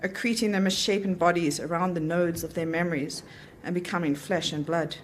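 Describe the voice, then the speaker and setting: A woman's voice: on the low side, fairly even intonation, fairly quick, a little hiss or hum. A woman reading a literary short story aloud at an event, in the narrator's voice. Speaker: author reading own story.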